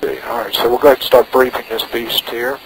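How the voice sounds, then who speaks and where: A man's voice: mid-range voice, flat delivery, quick pace, a crowd in the background. A man working as a pilot, making radio calls and talking himself through checks in short phrases over a headset in a small plane. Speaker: private pilot. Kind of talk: radio calls and cockpit self-briefing. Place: inside a small propeller plane in flight. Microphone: aviation headset. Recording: noisy cockpit intercom with engine drone.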